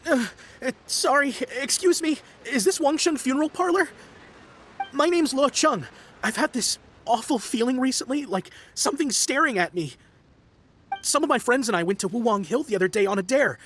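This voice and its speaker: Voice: high-pitched, lively intonation, normal speed, fairly bright tone, a little hiss or hum. A voice actor playing a frightened young man in a game who is sure he has been cursed, melodramatic and pleading. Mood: nervous. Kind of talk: game voice acting.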